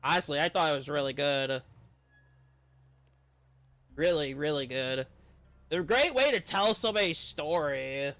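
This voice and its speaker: A man's voice: fairly high pitch, animated, unhurried, long pauses, a bit bright. A man doing let's-play commentary, reading game dialogue aloud and reacting to it.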